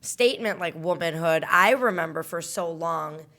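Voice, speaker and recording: A woman's voice: on the low side, normal speed, sharp tone. A woman in her thirties co-hosting a casual podcast, thinking aloud in a reflective way with restarts. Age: 30s. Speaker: podcast co-host.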